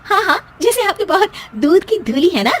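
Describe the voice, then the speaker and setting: Here is a feisty young daughter-in-law from a family storybook comedy, acted by a woman. Her voice is high and swings widely in pitch. She is sarcastic and huffy, exclaiming dramatically as she bickers. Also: sing-song, normal speed. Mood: indignant.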